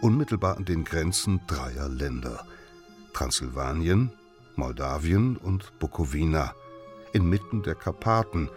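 A man playing a Victorian traveller reading his travel diary in a formal, measured way in a radio drama. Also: very deep voice, expressive, soft background music. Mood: grave, measured.

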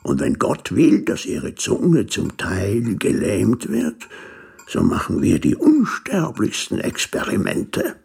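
An actor playing a pompous, coldly gleeful doctor in a radio play, husky and declamatory, with clinical Latin-laden talk. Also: male voice, on the low side, wildly swooping pitch, slow, a little mellow, exaggerated voice. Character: pompous, gleefully cold male doctor obsessed with his theories. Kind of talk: German-language radio play acting.

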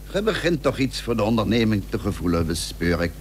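A man's voice: fairly low pitch, sing-song, brisk. An actor playing a shrewd, determined statesman in a historical radio play, formal, persuasive and emphatic. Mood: eager, shrewd. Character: shrewd, determined statesman, commanding yet diplomatic schemer. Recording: old radio recording with faint hum.

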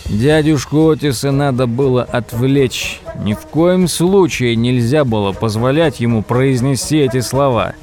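A man plays a nephew narrating an audio play in the first person. His voice is mid-pitched and expressive, telling the tale dramatically over faint music. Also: a little slow, hardly any pauses, natural voice. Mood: dramatic. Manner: expressive storytelling. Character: storytelling nephew recounting his odd uncle's troubles. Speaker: narrator and character.

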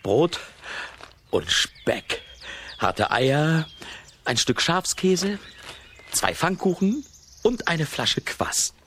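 Lazy, contented farm lad, animated pitch. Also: male voice, extremely slow, pauses often, a little hiss or hum, exaggerated voice. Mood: contented, drowsy. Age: young adult. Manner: leisurely, self-satisfied. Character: lazy, food-loving seventeen-year-old Russian farm lad, easygoing. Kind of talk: children's radio play acting.

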